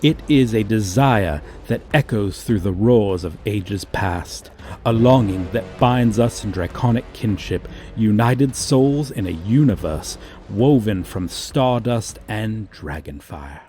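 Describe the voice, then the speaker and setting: Man voicing a deep, pompous dragon lover. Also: animated, slow pace, dark tone, a little hiss or hum. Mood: grand, reverent. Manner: pompous, formal, archaic diction. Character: haughty, archaic-speaking male dragon, tenderly courting a human. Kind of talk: ASMR fantasy romance roleplay.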